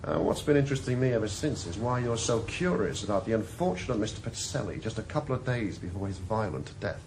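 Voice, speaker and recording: A man's voice: lowish voice, expressive, fast, noisy background, natural voice. A man playing a menacing male chief inspector, speaking in a cold, threatening way. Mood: sneering. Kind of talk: 1980s radio drama acting.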